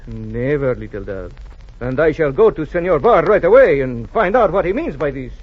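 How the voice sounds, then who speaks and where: An actor playing a warm, shrewd Spanish-Californian rancho owner in 1940s radio style, speaking expressive, courtly, halting English with a Spanish accent. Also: male voice, on the high side. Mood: tender, firm. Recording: dull, hissy old radio recording.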